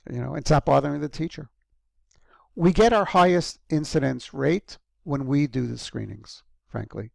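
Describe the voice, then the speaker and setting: A man's voice: on the high side, moderate pace, gravelly, darkish tone, dry recording, clean studio sound. A man giving a recorded university lecture in a conversational way, with frequent ums and restarts.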